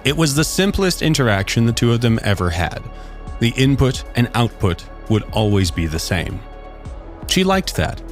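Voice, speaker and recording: A man reading a scripted story aloud as its narrator. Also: on the low side.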